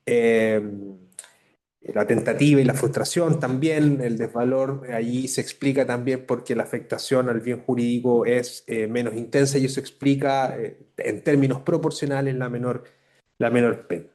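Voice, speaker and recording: A man's voice: mid-range voice, steady tone, moderate pace, mellow. Hesitant man commenting on a lecture. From Chile. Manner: hesitant, many fillers and restarts. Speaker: academic commentator. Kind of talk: spoken academic commentary.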